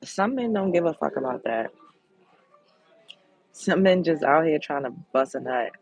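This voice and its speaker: Deep-voiced sultry woman host, gravelly. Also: animated, measured pace, a little mellow, dry recording, highs cut off, faint music behind. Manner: candid, blunt. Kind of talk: casual livestream chat reading comments.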